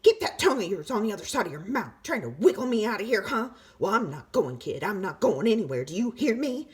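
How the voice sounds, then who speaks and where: A woman's voice: medium pitch, sing-song, fast, slightly rough, voice pushed up. A woman voicing a bossy, threatening talking tooth in a children's storybook reading. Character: bossy loud talking tooth, male tough guy.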